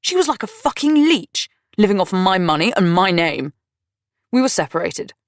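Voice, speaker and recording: A woman voicing a volatile, self-pitying man who rants and complains loudly. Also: on the low side, animated, normal speed, dry recording, studio quality, lightly acted. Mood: angry ranting. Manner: ranting, raised and strained.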